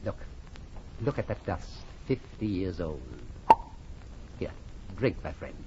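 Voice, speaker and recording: A man's voice: low voice, expressive, slow. An actor playing a vengeful nobleman in an old-time radio horror drama, coaxing and repeating himself with falsely solicitous, gloating menace. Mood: falsely friendly. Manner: coaxing, repetitive, chuckling menace. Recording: muffled old radio recording, faint noise.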